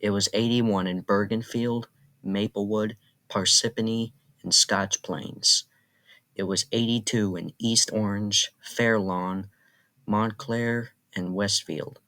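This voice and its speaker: A man's voice: on the low side, slow pace. A synthetic-sounding man reading an automated weather radio bulletin in an impersonal, mechanical way. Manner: flat, impersonal, synthetic delivery.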